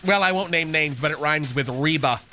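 Brief: high, bright, catty receptionist guy, a man putting him on, camp lilt, radio sketch. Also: moderate pace, a little hiss or hum. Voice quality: lilting, swooping camp delivery. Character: flamboyant, catty, gossipy male receptionist. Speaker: show's receptionist character.

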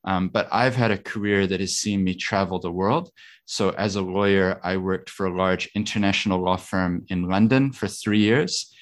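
A middle-aged man hosts a podcast and panel event in a fairly low voice. He speaks as the one introducing guests and setting out the session. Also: steady tone, moderate pace. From New Zealand. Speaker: podcast host and panel chair.

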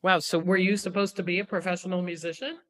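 A woman's voice: lowish voice, steady tone, fast talker. A woman hosting an interview show, asking brief, curious questions. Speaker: podcast host.

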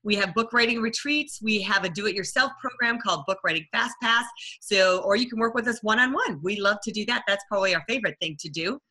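A woman hosts a podcast conversationally. Her voice is bright and forward, sitting at a medium pitch. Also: a little fast. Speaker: podcast host, book publisher.

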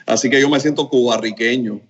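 A middle-aged man, a company founder answering questions as a podcast guest. He talks earnestly in long, flowing sentences at a mid pitch. The sound is a little dull and lo-fi. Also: a little fast. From Cuba, raised in Puerto Rico. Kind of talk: interview answers.

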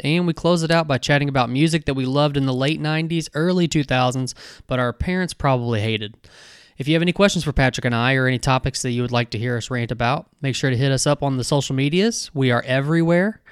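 Man, podcast host, smooth clear voice, dry close sound, upbeat banter. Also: mid-range voice, dry recording. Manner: upbeat scripted intro, then joking banter. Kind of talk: casual podcast chat.

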